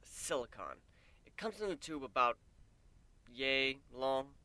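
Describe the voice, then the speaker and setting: A man's voice: medium pitch, animated, slow, bright, forceful tone, dry recording. A man voicing a male pegasus pony in a story reading, plainspoken, folksy, wry and earnest. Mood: frustrated.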